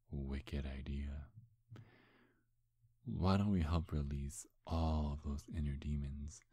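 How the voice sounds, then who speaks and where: A man playing a smug, seductive demon in an intimate ASMR roleplay, breathy and husky, teasing in a hushed, hypnotic way. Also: a bass voice, no echo, heavily acted. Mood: hypnotic, coaxing. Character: seductive, smug male demon posing as a handsome gentleman.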